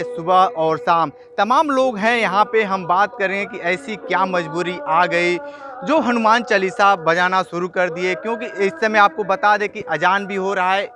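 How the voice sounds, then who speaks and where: A man reporting on location, explaining in a halting, repetitive way and putting questions to locals. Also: sits high, music in the background. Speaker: field news reporter. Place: outdoors in a neighbourhood with loudspeaker playing.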